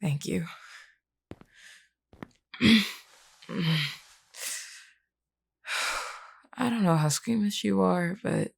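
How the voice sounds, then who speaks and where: A woman's voice: on the low side, gravelly, breathy edge, heavily acted. A woman voicing a flirty, wounded female werewolf, teasing and weary, sighing through pain in an intimate audio roleplay. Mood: strained, tired. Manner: teasing, sighing, calls listener hun.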